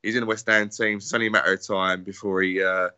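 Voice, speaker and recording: A man's voice: low-pitched, little pitch movement, fast talker, pushed and bright, clean tone, dry recording. A man from England co-hosting a casual football podcast, rambling and restarting his sentences. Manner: rambling, full of fillers and restarts. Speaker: podcast co-host.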